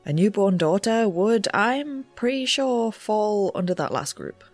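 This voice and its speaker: An eager young female ghost researcher in an audio drama. Her delivery is animated and swings widely in pitch, with nervy, self-justifying, wry asides. Faint music sits behind her chatty audio notes. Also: unhurried, dry recording. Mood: earnest, explanatory.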